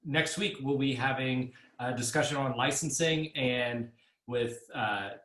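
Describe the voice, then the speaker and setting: A man's voice: mid-range voice, measured pace, fairly bright tone. Man moderating from an echoey room. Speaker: session moderator. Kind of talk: casual video-call hosting. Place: home room, indoors. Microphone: computer microphone at desk distance on video call. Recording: roomy, echoey video-call sound.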